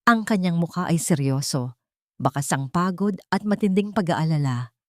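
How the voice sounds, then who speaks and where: A woman's voice: on the low side, lively intonation, moderate pace, darkish tone, no room sound, clean studio sound. A woman narrating a scripted audiobook story in a dramatic storytelling style.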